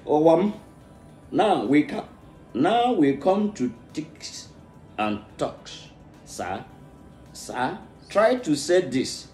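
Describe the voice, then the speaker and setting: A man from Nigeria with an Igbo accent, a native Igbo speaker, reads a tongue-twister storybook aloud, haltingly, stumbling over and repeating words. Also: a little high, big pitch swings, darkish tone. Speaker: English pronunciation learner. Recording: echoey room, music behind.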